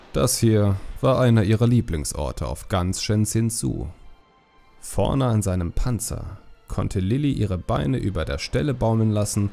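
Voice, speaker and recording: A man with a low, gravelly voice narrates a fantasy story. His delivery is mellow scripted audiobook reading, set over faint music.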